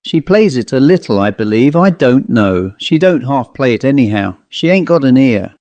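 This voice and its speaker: A man's voice: mid-range voice, big pitch swings, normal speed, a little mellow, no echo, natural voice. A man voicing a stern, guarded country housewife in a storybook reading, curt, dismissive and evasive. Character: stern, guarded rural New England housewife.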